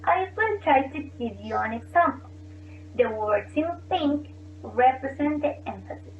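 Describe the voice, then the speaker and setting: A high-pitched woman reads a student presentation slowly and carefully. She sounds distant and tinny, as if over a thin phone-like line in an echoey room. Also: animated, slight background noise. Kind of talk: reading a class presentation.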